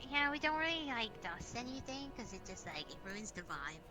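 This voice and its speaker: A woman with a medium-pitched voice chatting in character in an improvised roleplay. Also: sing-song, moderate pace. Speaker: roleplay player. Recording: music playing behind the voice. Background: music.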